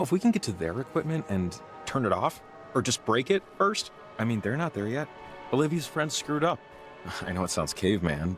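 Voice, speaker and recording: A man's voice: on the low side, lightly acted. An actor playing a jittery, well-meaning local man in a video game, with a hesitant, rambling manner. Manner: rambling, hesitant, self-deprecating. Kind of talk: naturalistic video game acting.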